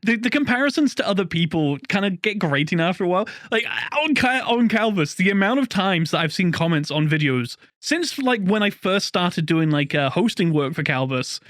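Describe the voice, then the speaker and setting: A man's voice: sits high, expressive, moderate pace, few pauses, a bit bright, dry recording. Young man, slightly posh British accent, rambling podcast chatter, dry close sound. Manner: rambling, laughs often, many restarts. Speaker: podcast co-host. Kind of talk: casual chat.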